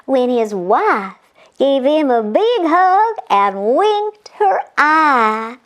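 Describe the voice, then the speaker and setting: A woman voicing a kindly, helpful female storybook butterfly in a drawn-out, sing-song way. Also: high-pitched, very animated, exaggerated voice. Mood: thoughtful, advising.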